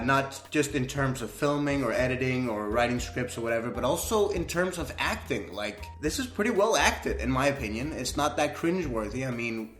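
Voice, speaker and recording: A young Danish man rambles casually in English, his accent clear, tossing in "man" as he chats. His voice sits at an ordinary pitch, the small room around him adds a roomy echo, and faint music hums behind. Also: fairly bright tone. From Denmark. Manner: chatty, rambling, frequent "man". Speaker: YouTuber. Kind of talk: casual reaction vlog. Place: small indoor room at a desk. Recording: echoey room.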